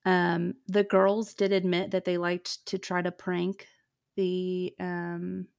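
A woman hosting a podcast, telling a true ghost story in a chatty way, with many "like"s and "um"s. She has a fairly deep voice. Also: completely flat delivery, slow, creaky, smooth. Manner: chatty, lots of "like" and "um". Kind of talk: casual storytelling chat.

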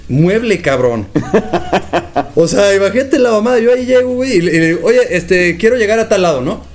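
A young Mexican man with a Guadalajara accent talks in a rapid, slang-heavy way with plenty of swearing. His voice sits a bit on the high side. Faint music plays behind him. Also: animated, a little mellow. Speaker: podcast co-host. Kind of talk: casual podcast chat.

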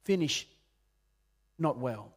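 A man in his late forties from Peru, preaching a sermon in an earnest way, rising loud on key points and dropping soft and low. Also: animated, slow pace, slightly rough. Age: 40s. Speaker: pastor.

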